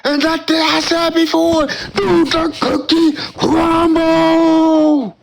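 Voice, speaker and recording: A man's voice: very high voice, little pitch movement, gravelly. A man commentating his own game, drawing out dramatic, boastful taunts and calling opponents noobs. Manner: drawn-out dramatic chanting taunts. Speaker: gamer commentating own match. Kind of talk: hyped trash-talk commentary.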